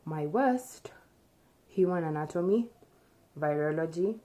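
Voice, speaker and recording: Young woman from Kenya, casual vlog chat, low and warm, thinks aloud, camera mic in a small room. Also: slow. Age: young adult. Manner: relaxed, chatty, thinks aloud with fillers. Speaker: vlogger. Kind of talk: casual chat, answering tag questions. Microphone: camera in front at close range.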